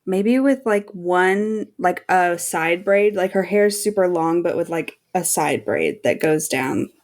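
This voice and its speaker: A woman's voice: lowish voice, slow, a little mellow. A woman speaking as a podcast panelist in casual, conversational chat.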